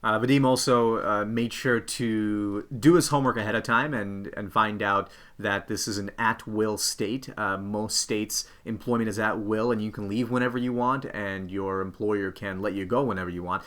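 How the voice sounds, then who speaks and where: Male career-coach host, lowish pitch, explanatory delivery straight to viewers. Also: steady tone, moderate pace, hardly any pauses, a bit bright. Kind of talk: instructional presenting to camera.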